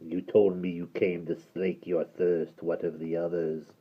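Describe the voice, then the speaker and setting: A man voicing a sinister, cold male water creature with a deep, gravelly voice, speaking slowly in a low, half-whispered menace. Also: little pitch movement. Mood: menacing. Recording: dull lo-fi recording.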